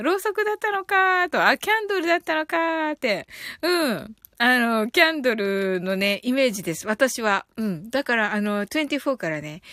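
A woman with a very high, bright voice hosts a casual live audio stream. She chats politely and reads out comments from listeners, often breaking into giggles as she speaks. The sound is dry, with no room echo. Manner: giggly, laughs often while talking. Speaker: livestream host reading out listener comments.

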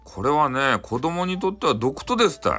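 Man voicing exclamatory old Hakata priest, swooping pitch. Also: big pitch swings, fairly quick, pushed and bright, faint music behind. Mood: sly, warning. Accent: Hakata, Japan. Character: elderly Buddhist temple priest, sneaky but soft-hearted, folktale. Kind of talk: storybook character voice.

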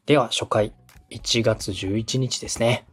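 A man's voice: medium pitch, steady tone, unhurried, fairly bright tone, breathy edge. A man from Osaka speaking as a podcast host and radio director, coaching conversationally in a polite, explanatory, step-by-step way. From Osaka, Japan.

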